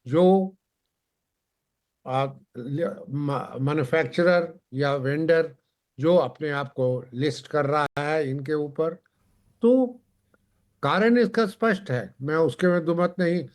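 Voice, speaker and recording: A man explaining points as a TV panel guest, haltingly, often repeating and rephrasing his words. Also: on the high side. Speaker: panel guest on a consumer affairs TV show.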